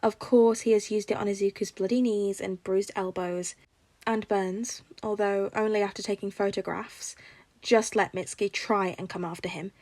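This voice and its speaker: A woman's voice: mid-range voice, steady tone, moderate pace, darkish tone, dry recording. A woman reading a scripted story aloud as a fiction narrator. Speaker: podfic narrator.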